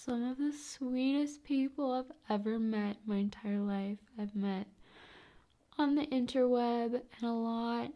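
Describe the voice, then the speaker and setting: Woman, ASMR host, soft and breathy, often whispery, unhurried, crackly vocal fry, gentle chatty delivery. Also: on the high side. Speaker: ASMR video creator and host. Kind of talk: ASMR, soft casual rambling to viewers.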